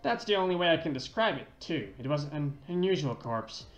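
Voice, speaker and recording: A man's voice: fairly high pitch, lively intonation, a little fast, soft background music. Man reading as a grave, roomy-sounding junkyard superintendent. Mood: grave, mysterious. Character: older, sombre junkyard superintendent, former medical examiner. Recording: dull lo-fi roomy mic.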